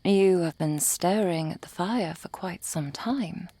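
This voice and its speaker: A composed, cold-hearted merchant played by a woman in an ASMR roleplay drama. The voice sits low and is recorded very dry and close. Every phrase is formal and precisely measured, with a refined polish. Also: mellow, husky, dry recording. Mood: quiet, concerned.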